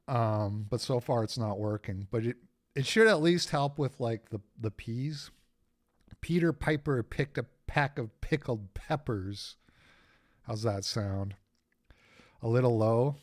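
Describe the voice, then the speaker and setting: A man with a raspy voice hosts a casual livestream at an unhurried pace. He rambles, makes sarcastic asides and gets irritated easily, and his pitch sits in a middle range. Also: lively intonation. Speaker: host.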